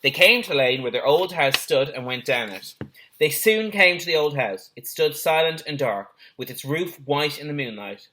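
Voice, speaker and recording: A man's voice: a little high, animated, moderate pace, sharp tone, slight background noise. A man, a teacher, reading a children's story aloud expressively with brief asides.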